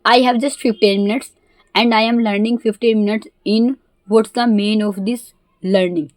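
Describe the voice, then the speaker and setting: A woman with an Indian accent, a Hindi native speaker, talking casually in halting learner English mixed with Hindi. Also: on the high side, fairly even intonation, darkish tone, no echo. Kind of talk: casual vlog.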